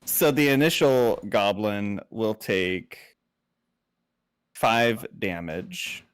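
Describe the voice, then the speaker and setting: A man's voice: fairly low pitch, lively intonation, extremely slow, smooth, no echo. A man chatting casually as a player in a tabletop game.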